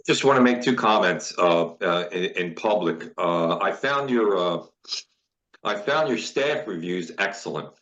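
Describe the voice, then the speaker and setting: Give me a deep-voiced man commenting haltingly in a meeting over dull audio. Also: expressive, fairly bright tone. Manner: halting, stumbles and repeats words. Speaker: committee member. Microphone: video call.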